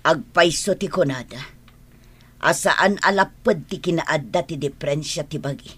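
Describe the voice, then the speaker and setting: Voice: mid-range voice, normal speed, a bit bright, breathy edge. An actor with an Ilocano accent playing a plain-spoken, moralizing older villager in a radio drama.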